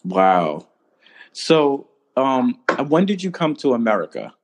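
A man's voice: mid-range voice, sing-song, slow pace, noisy background. A man hosting a conversational podcast interview in an affirming, appreciative way. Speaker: podcast host.

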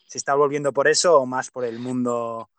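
A man's voice: mid-range voice, steady tone, fairly quick, a bit bright, dry recording. A man from Pamplona hosting a podcast, chatting casually with plenty of hesitant fillers. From Pamplona, Spain. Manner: hesitant, many fillers, opinionated. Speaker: podcast host. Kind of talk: casual interview chat.

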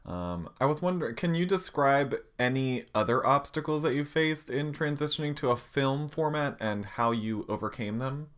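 A man interviewing a guest, asking polite, measured questions. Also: medium pitch, fairly bright tone. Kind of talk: interview conversation.

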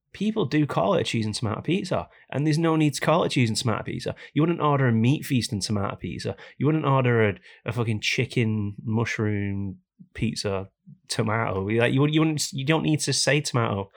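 A man hosting a casual podcast, hoarse and raspy from a cold, ranting jokily about things that annoy him. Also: medium pitch, expressive, brisk. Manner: jokey, complaining rants. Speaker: podcast host.

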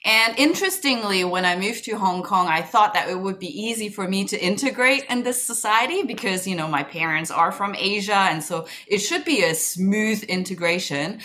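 A woman's voice: mid-range voice, normal speed, hardly any pauses, pushed and bright, slight background noise. A woman in her thirties from Germany, a podcast guest introducing herself in a candid, articulate, conversational way.

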